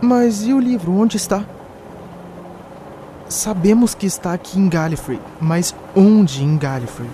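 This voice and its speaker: Homem brasileiro de voz bem aguda, curioso, em atuação. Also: male voice, high voice, measured pace, warm tone. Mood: puzzled, curious. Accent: Brazilian. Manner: inquisitive. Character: curious, questioning male companion of a Time Lord. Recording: faint background noise.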